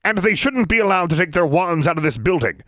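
A man voicing a pompous, blustering male official who bellows indignantly in a strained high pitch. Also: very animated, quick pace, fairly bright tone, no echo, studio quality.

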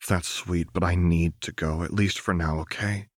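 A man reading the part of a gentle, resolute young wife in an audiobook, soft, earnest and sorrowful. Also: sits low, fairly even intonation, moderate pace, no room sound, studio quality. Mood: gentle, firm.

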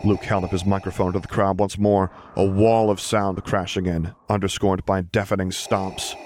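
A man's voice: low-pitched, little pitch movement, moderate pace, a little mellow, a little hiss or hum. A man narrating a fiction audiobook from a script.

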